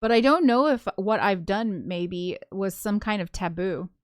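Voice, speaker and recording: A woman's voice: mid-range voice, measured pace, smooth, no echo, clean studio sound. A woman reading a visual novel aloud, slipping into casual, chatty commentary with giggly asides. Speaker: co-host of a gaming show.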